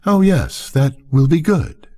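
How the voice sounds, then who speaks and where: Older man doing a smug proud farm wife for a bedtime story, lilting n emphatic, lively pitch. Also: takes it slowly, exaggerated voice. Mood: pleased.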